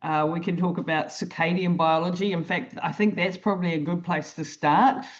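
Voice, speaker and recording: A woman's voice: on the low side, fairly even intonation, moderate pace. A woman hosting a podcast, welcoming her guest in an enthusiastic, easygoing way. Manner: enthusiastic, warm welcomes, easy chat. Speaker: podcast host. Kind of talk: casual interview chat. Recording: dull lo-fi sound.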